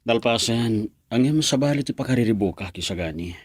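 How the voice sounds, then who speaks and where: A man's voice: medium pitch, expressive, a little fast, breathy edge, dry recording, studio quality. An actor playing a young university student in love, talking earnestly with his friend in a radio drama.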